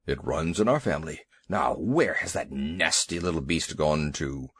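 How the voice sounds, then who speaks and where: A man putting on a great fretful bull elephant: slow and deep, grumbling and pompous, a storybook beast who is easily rattled. The voice rolls up and down. It sounds dry and close. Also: lively intonation, a bit bright, breathy edge, no echo, heavily acted. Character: huge timid male elephant, pompous and fussy.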